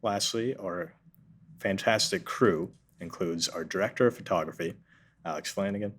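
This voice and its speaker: A man's voice: low voice, moderate pace, a bit bright, slightly rough. A man narrating a table read, reading scripted introductions and stage directions. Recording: noticeable background noise.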